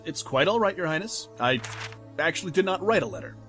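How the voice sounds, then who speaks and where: A man's voice: a little high, expressive, fairly quick, sharp tone. A man voicing an aggrieved, lovestruck unicorn stallion who defies royal law, formal and impassioned, in a fan audio drama. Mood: subdued, formal. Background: music.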